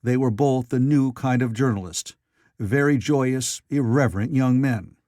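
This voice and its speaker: A man's voice: medium pitch, unhurried, warm tone, no room sound, clean studio sound. A man reading classic literary fiction aloud as a scripted audiobook narrator.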